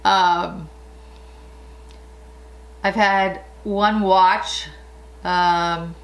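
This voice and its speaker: A woman's voice: on the low side, steady tone, extremely slow, low-quality recording. A woman in her late forties chatting casually through a makeup tutorial, rambling and laughing often. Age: 40s. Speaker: beauty vlogger.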